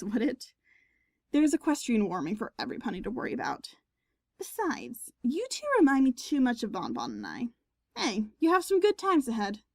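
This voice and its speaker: A woman's voice: fairly high pitch, a little mellow, dry recording, clean studio sound. A woman playing a sardonic but forgiving pony musician in an audio drama, with a wry, mock-formal manner. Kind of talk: audio drama character acting.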